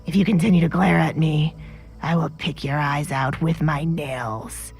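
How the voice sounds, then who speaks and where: A menacing male henchman from an audio drama, acted out by a woman. He is sly and mocking, speaking in a hushed, taunting way that carries open threat. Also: sits low, fairly even intonation, a little slow, gravelly, slightly rough, soft background music, exaggerated voice. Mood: taunting menace.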